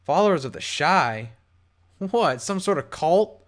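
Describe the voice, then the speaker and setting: Brief: man playing an inquisitive young stallion pony with a lively, lifting voice. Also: on the high side, unhurried. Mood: curious. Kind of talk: audiobook character voice.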